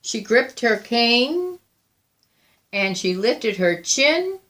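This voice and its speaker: A woman reading a picture book aloud as a storyteller, deliberate and expressive, with frequent pauses. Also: a little high. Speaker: volunteer storybook reader.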